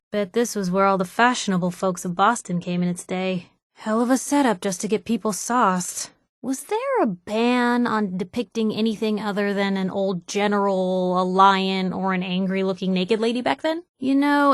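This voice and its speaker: An actress playing a feisty, wry young woman reporter in video game companion dialogue, conversational, slangy and expressive. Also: medium pitch, dry recording, studio quality, lightly acted. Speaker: voice actor for a game companion character.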